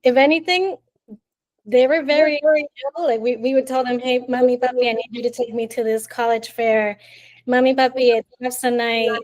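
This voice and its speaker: A woman in her late twenties, from Colombia and raised in Miami, telling her story as a podcast guest in a warm, chatty way. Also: a little high, fairly even intonation, moderate pace, low-quality recording. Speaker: interview guest. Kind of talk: casual storytelling in an interview.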